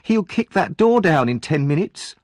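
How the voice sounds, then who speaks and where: A man voicing a fretful middle-aged woman shopkeeper, in a high, shrill voice, in a storybook reading. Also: big pitch swings, moderate pace, dry recording, studio quality. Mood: alarmed.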